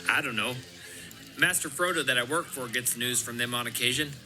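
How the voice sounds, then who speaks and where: A man's voice: mid-range voice, brisk, natural voice. Man as an earnest rustic hobbit, music behind. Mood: defensive. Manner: folksy, wondering. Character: earnest, credulous rustic male hobbit gardener. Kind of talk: audio drama acting.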